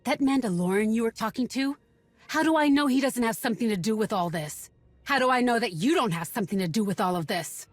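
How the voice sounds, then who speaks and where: A woman's voice: a little high, lively intonation, fast, no room sound. An actress playing a guarded, bitter young woman in a video game, blunt, sardonic and distrustful. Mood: suspicious, irritated. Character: guarded, bitter young local woman searching for missing sister. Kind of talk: video game cutscene acting.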